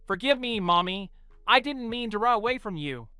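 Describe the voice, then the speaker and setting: A man's voice: high voice, animated, normal speed, pushed and bright, clear, resonant tone, dry recording, faint music behind, pitched above the performer's own voice. A man doing a pouty little girl. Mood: contrite. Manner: pouty, defensive. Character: cheeky young girl, mischievous sister.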